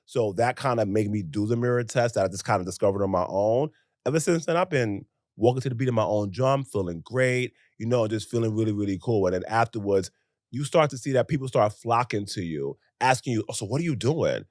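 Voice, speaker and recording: Deep-voiced, animated young American man. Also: fairly quick, no room sound, clean studio sound. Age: young adult. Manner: animated, emphatic, with rhetorical questions and acted-out asides. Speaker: podcast co-host. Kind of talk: casual conversational podcast chat.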